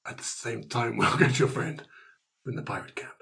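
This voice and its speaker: A man's voice: mid-range voice, moderate pace, creaky, pushed and bright. A man playing a pushy, menacing quest giver in a fantasy game, insistent and repetitive. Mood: pushy, threatening.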